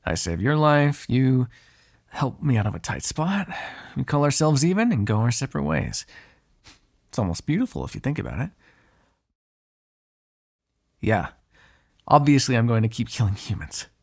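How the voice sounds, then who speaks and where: A man's voice: mid-range voice, very animated, moderate pace, creaky, darkish tone. A man playing a sardonic, foul-mouthed vampire on the run in an ASMR roleplay, talking in a conversational, exasperated way. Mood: matter-of-fact.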